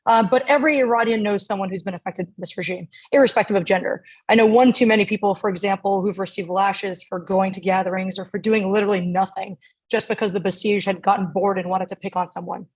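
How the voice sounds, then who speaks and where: A woman's voice: medium pitch, steady tone, fast talker, breathy edge, low-quality recording. A woman from the United States, a doctor and guest, answering fluently and articulately. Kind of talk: interview answer.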